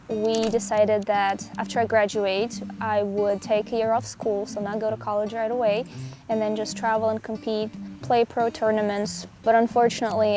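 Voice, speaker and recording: A woman's voice: medium pitch, darkish tone. A young woman from Russia speaking English, answering interview questions outdoors in a reflective, casual way. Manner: reflective, with small restarts. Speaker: pro pickleball player, guest. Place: outdoors on a hiking trail.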